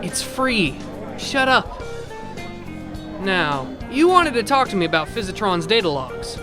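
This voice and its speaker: A man's voice: high voice, sing-song, a little slow, music in the background. A man playing a chatty, mischievous robot bartender and prankster in an audio drama, flippant and rambling. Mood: breezy, excited.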